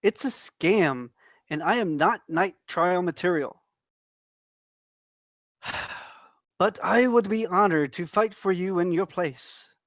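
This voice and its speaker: A man's voice: high-pitched, takes it slowly, pauses often, a bit bright, no echo, clean studio sound. A man voicing a humble, loyal janitor and reluctant champion, plain and unassuming, in a script table read.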